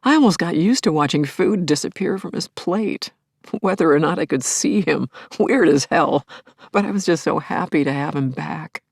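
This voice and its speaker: A woman playing a middle-aged grieving widow in an audio play, telling her story as an intimate, expressive and reflective monologue. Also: low voice, normal speed, creaky, breathy edge, no echo, clean studio sound, full character voice. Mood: wondering, happy. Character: grieving widowed scientist, wistful, wry, tender, anxious. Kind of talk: intimate dramatic first-person monologue.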